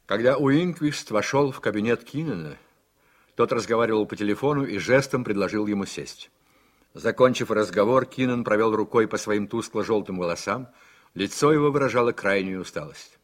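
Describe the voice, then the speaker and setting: A man reading scripted literary narration for a radio play in a measured way. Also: lowish voice, lightly acted.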